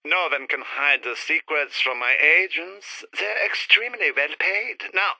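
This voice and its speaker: An actor playing a towering, slick alien giraffe tycoon, a smooth-talking and calculating showman in a retro sci-fi radio serial. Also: on the high side, measured pace, pushed and bright, no echo, exaggerated voice, rough recording. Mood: menacing calm.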